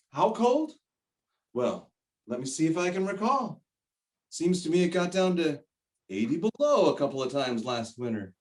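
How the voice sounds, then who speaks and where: A man's voice: on the high side, roomy sound, recorded from a distance. An actor in a stage play playing a chatty, easily sidetracked Alaskan roadhouse tour guide who heartily herds his customers along. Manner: rambling, easily sidetracked, hearty when calling folks.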